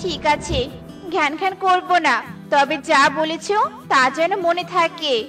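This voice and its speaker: Woman as nagging crow wife, very high voice, insistent, scolding, cartoon, clear background music. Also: normal speed. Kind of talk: children's cartoon dubbing. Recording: loud music behind.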